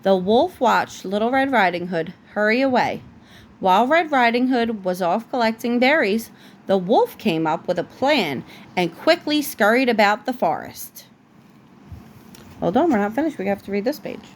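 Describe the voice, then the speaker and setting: A woman reading a children's bedtime story aloud like a parent, with expressive storytelling. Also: a little high, moderate pace, slight background noise.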